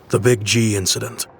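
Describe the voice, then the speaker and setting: A man's voice: on the low side, gravelly, noisy background. Weary, guarded young townsman. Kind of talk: video game voice acting.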